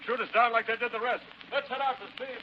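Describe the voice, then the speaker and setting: A man's voice: very high voice, steady tone, quick pace. An actor playing a jittery, plain-spoken male boat pilot in agitated 1930s radio drama style. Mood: panicky. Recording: muffled, noisy 1930s radio broadcast.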